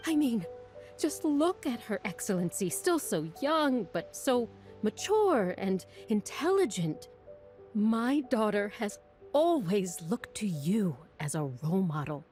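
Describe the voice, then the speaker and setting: A woman playing a proud, chatty village mother who rambles and gushes with flattery. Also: mid-range voice, slow pace, faint music behind. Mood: gushing. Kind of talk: video game voice acting.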